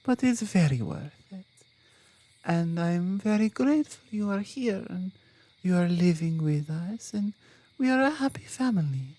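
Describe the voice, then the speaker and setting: A woman plays a devoted mama bear in an intimate ASMR roleplay. Her voice is dark and softly breathy, very close and dry. She speaks slowly and affectionately, with halting, foreign-sounding phrasing. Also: on the low side, expressive, no echo. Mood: teasing, affectionate. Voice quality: soft, hushed, slightly breathy. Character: loving, tender female mama bear, devoted mate and mother.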